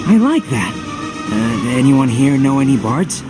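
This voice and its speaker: An actor playing a sympathetic, easygoing soldier companion in a video game cutscene, with music behind him. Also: male voice, medium pitch, very animated, a little slow. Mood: amused.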